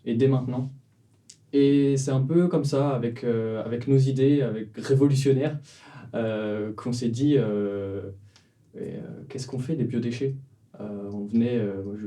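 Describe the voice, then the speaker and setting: Man, 20s, startup cofounder guest; hesitant, filler-heavy delivery, very dark timbre, recorded from a distance. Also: medium pitch. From France, Vendée. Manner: hesitant, many fillers and restarts. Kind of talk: casual interview answers.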